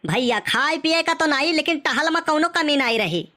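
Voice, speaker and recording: A man's voice: very high-pitched, expressive, moderate pace, bright, forceful tone, full character voice. A man voicing a sly, stingy village host in a comic cartoon, with an Awadhi accent and mock-polite excuses. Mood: sly, bossy.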